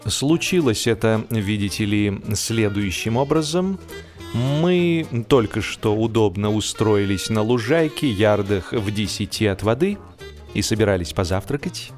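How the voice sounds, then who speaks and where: A man narrating humorous literary prose like an audio play, with expressive, theatrical delivery and deliberate, drawn-out phrasing. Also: on the low side, soft background music. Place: studio.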